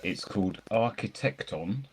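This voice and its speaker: Low-pitched male podcast co-host, rambling, enthusiastic film chat. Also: lively intonation, a little slow, a little hiss or hum, rough recording. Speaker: podcast co-host reviewing films. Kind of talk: casual film discussion.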